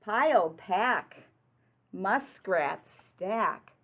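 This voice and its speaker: A woman's voice: mid-range voice, lively intonation. A woman reading a picture book aloud to young children, explaining in simple words, asking questions and stressing rhyming words. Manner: simple child-directed talk with frequent questions; deliberate, emphatic delivery of rhyming words. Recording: muffled, phone-like narrow-band sound.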